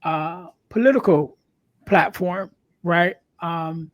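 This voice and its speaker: A woman hosting a podcast, interviewing casually in a halting way, often repeating words as she works toward her point. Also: on the low side. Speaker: podcast host.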